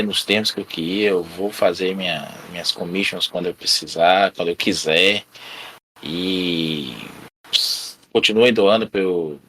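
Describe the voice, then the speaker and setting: A man's voice: low voice, pushed and bright, a little hiss or hum, rough recording. A man with a Brazilian accent chatting casually as a podcast panelist, in informal, slangy language with some swearing. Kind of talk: casual chat.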